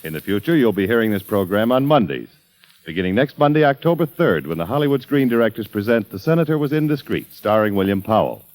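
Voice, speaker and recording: A man's voice: lowish voice, very animated, quick pace, a little mellow, low-quality recording. A man working as the announcer of a 1940s radio broadcast, giving a formal, polished sponsor read. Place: radio studio.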